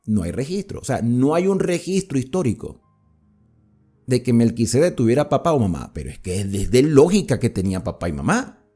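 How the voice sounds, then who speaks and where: A man's voice: medium pitch, very animated, fairly quick, warm tone, slightly rough, faint music behind. A man from Venezuela with a Venezuelan accent from near the Colombian border, teaching a Bible study in a conversational way, asking and answering his own questions and repeating points for emphasis. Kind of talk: explanatory Bible study talk.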